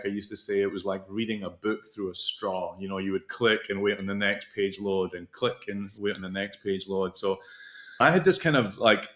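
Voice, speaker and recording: A man's voice: fairly low pitch, a bit bright. A middle-aged man, a company founder being interviewed, explaining things in long, flowing runs. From Scotland. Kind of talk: casual interview storytelling. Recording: roomy echo.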